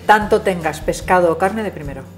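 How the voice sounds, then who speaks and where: A young woman, hosting a casual cooking show, asking questions and agreeing along in a home kitchen. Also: lowish voice. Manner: friendly questions, quick agreeing replies. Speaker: host. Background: background music.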